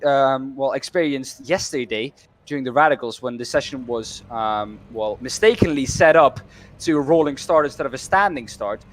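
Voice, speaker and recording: A man's voice: on the high side, a bit bright. A man co-commentating a sim race, speaking with hesitation and lots of filler words, polite and careful. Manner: hesitant, many ums, polite.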